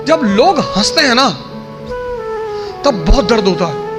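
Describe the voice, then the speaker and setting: A man's voice: sits high, big pitch swings, unhurried. A man telling a personal anecdote informally, quoting bits of dialogue as he goes. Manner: informal, quotes dialogue as he recounts. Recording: music behind the voice. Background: music.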